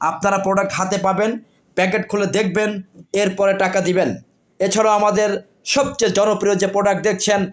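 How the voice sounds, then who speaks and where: A man with a Bangladeshi accent presenting products in a repetitive sales pitch.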